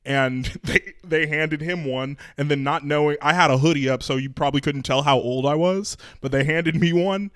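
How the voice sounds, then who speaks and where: A man hosting a casual podcast, telling stories and asking questions in an animated, conversational way. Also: on the high side, moderate pace, hardly any pauses, clear, resonant tone, no echo, clean studio sound. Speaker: podcast host interviewer. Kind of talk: casual conversational storytelling and interviewing.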